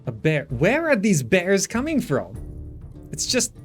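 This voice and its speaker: A man's voice: high voice, wildly swooping pitch, measured pace, faint music behind. A man giving casual gameplay commentary, thinking aloud as he plays. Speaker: gaming video host.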